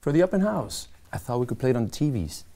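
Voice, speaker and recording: A man's voice: mid-range voice, sing-song, fast, warm tone. An actor playing an insecure, self-deprecating middle-aged man at a table read of a screenplay. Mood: eager. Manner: earnest, hesitant, self-mocking.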